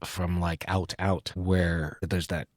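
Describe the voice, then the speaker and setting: A man's voice: low voice, flat delivery, slow pace, dry recording, studio quality. A middle-aged man hosting a reflective poetry podcast conversation, musing in sentences that often trail off. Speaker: podcast host.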